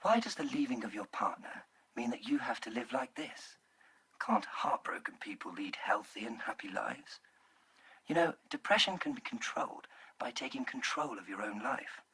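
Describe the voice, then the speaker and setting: An actor playing a polite, earnest male government droid in a sci-fi comedy audio play, speaking formally with a husky, breathy voice. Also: medium pitch, very animated, moderate pace, slight background noise, low-quality recording. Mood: earnest, concerned. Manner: formal, courteous, gently probing. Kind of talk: audio play character acting.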